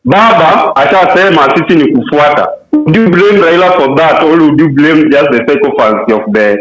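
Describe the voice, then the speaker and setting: A man's voice: on the high side, faint music behind. Kenyan male caller, argumentative, echoey speakerphone sound. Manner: argumentative, rapid bursts, frequent fillers. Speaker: radio caller. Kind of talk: call-in opinion.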